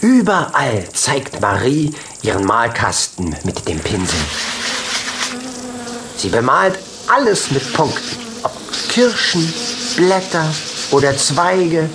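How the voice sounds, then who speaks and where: A man narrating a children's audiobook expressively, in short measured phrases. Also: medium pitch, sing-song, noisy background. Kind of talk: scripted children's story narration.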